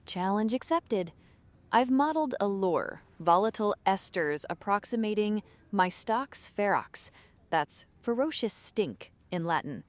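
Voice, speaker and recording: A woman's voice: medium pitch, expressive, measured pace, no room sound, lightly acted. A woman playing a brainy, confident scientist heroine in a radio play, with precise, plucky delivery.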